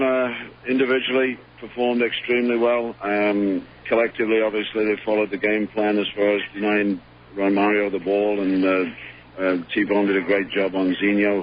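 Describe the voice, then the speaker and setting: A man calling in as head coach, hoarse from losing his voice, speaking haltingly with many fillers in a post-match phone interview. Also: on the low side. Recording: dull phone line with faint hum.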